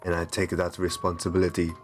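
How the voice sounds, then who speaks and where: A man playing a courteous, possessive vampire master in an ASMR roleplay, soft-spoken, soothing and reassuring with genteel endearments. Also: deep voice, monotone, fast, close to an everyday voice. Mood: tender, solemn. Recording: music under the voice. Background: background music.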